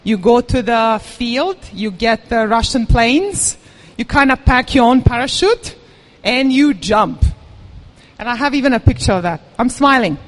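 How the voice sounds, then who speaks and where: A woman's voice: on the high side, expressive, measured pace. A woman giving a candid, self-deprecating personal talk to a live audience; she says she is nervous. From Serbia. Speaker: event speaker.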